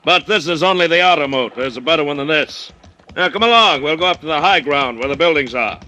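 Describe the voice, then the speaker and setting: An actor playing a smug, fearless plantation owner in a vintage radio drama, brusque and commanding. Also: male voice, fairly high pitch, lively intonation, fast, pushed and bright. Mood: smug, breezy. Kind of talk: 1940s-50s radio drama acting.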